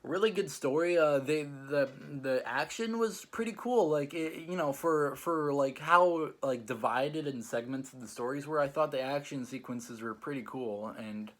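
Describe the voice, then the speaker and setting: Higher-voiced young-sounding man rambling casually. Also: measured pace, hardly any pauses. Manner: rambling, hesitant, filled with "like". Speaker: host and judge of a challenge show. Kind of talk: casual chat, reviewing stories.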